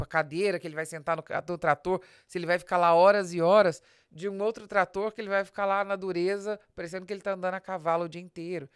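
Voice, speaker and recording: A woman's voice: fairly low pitch, smooth, no echo, studio quality. A woman with a Brazilian accent giving an explanatory business talk as a podcast host, speaking straight to the listener in a didactic way with emphatic stresses.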